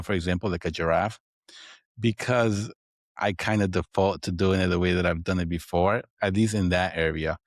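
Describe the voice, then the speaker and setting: Deep-voiced man with Dominican accent. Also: no echo, clean studio sound. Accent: Dominican Spanish. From Dominican Republic. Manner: explanatory, frequent "right?" tags. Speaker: guest, accounting firm owner. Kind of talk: podcast interview.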